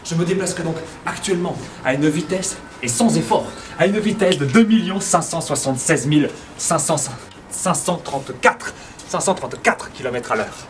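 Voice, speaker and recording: A man acting a slightly mad man in a theatre monologue, reasoning earnestly and deliberately, like a pedant reciting calculations. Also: a little high, lively intonation, pitched above the performer's own voice, lightly acted. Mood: earnest, calculating. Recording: echoey room, distant mic, faint noise.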